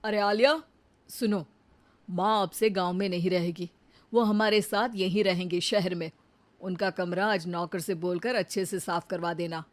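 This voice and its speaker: A woman voicing a patient young husband and dutiful son in a cartoon story, speaking in a calm, reasoning way. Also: fairly low pitch, normal speed, natural voice. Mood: coaxing.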